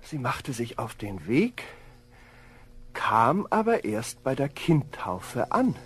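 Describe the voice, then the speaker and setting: Lazy, swooping, self-satisfied peasant husband. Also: male voice, medium pitch, very animated, takes it slowly, a bit bright, slightly rough, exaggerated voice. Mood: drowsy storytelling. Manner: smug, leisurely, theatrical drawl. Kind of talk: German children's fairy-tale radio play acting. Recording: faint noise on old audio-play recording.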